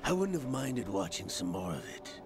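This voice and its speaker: A man playing a smug older spy in a video game, speaking in a measured, mocking way with a gravelly voice. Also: deep voice, sing-song, music in the background. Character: smug older male spy revealing his scheme. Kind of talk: video game cutscene acting. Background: dramatic game score.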